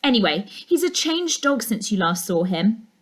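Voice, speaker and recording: A woman's voice: medium pitch, big pitch swings, normal speed, voice pushed up. Woman voicing an earnest, plucky schoolgirl. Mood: pleading.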